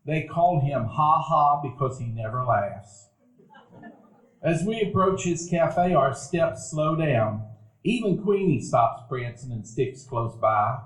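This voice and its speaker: A man narrating a live stage radio play in a warm, reminiscing storytelling manner. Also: a little high, lively intonation, slow. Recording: echoey room, live audience.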